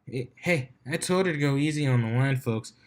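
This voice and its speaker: A man's voice: mid-range voice, normal speed, natural voice. A man voicing a brash, cocky female pony DJ with boisterous, slangy showmanship, in a storybook reading. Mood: hyped showmanship.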